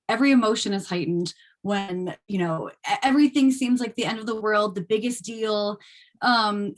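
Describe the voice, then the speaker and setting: A woman with a medium-pitched voice talks as a guest on a video call. Her answers are informal and conversational. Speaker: guest, actor. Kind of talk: casual interview answers.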